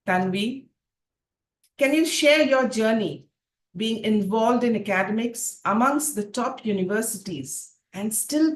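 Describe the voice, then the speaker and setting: A woman moderator reads out guest introductions in a formal, gracious manner. Her voice sounds roomy and echoey, and faint noise sits underneath it. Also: medium pitch. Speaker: panel moderator. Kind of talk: reading panelist introductions.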